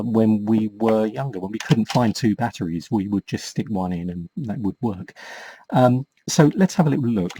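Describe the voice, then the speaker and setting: A man's voice: lowish voice, a little mellow, slight background noise. A man in his forties giving a casual hands-on tutorial, explaining things step by step in a hesitant, conversational way. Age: 40s. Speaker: vintage toy repairer and presenter.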